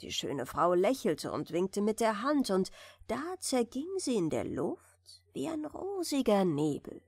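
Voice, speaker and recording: A woman narrating a fairy tale for bedtime, reading gently and soothingly, at times almost whispering. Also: medium pitch, very animated, unhurried, husky, no echo, clean studio sound. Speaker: audiobook narrator.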